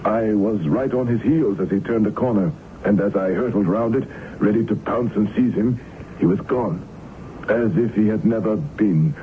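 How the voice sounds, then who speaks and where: A haunted, desperate sea captain acted by a man speaks in a low voice with halting, theatrical anguish. It is radio drama, on a muffled vintage recording with some background noise. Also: close to an everyday voice. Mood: agitated, insistent. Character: haunted, desperate male sea captain fearing a supernatural pursuer. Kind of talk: old-time radio drama acting.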